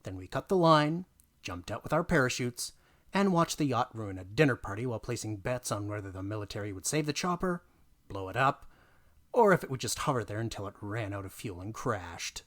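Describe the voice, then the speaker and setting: A man narrating a dramatic reading of a tabletop gaming story, theatrical and emphatic, pausing for effect. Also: lowish voice, expressive.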